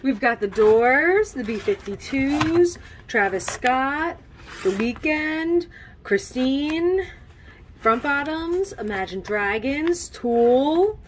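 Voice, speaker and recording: Woman, thirties, playful record store co-host, higher pitch, casual delivery with repeated words, faint handheld-camera noise. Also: animated, drawn-out words. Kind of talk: casual vlog chat, listing album names. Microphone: handheld camera mic at short distance.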